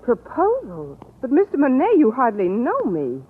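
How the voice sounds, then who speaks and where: A woman's voice: on the high side, huge pitch swings, normal speed, husky, heavily acted. An actress playing a dry, sarcastic woman schoolteacher in radio sitcom style. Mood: wry. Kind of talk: 1950s radio sitcom acting. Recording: tinny old radio with loud studio audience.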